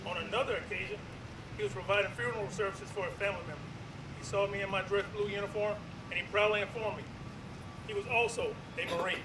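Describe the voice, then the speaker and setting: A young Marine officer delivers a measured, formal tribute in a high voice. He is picked up from afar through an echoey public address system outdoors. Clear traffic noise runs underneath. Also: steady tone, far from the mic. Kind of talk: formal ceremonial tribute speech.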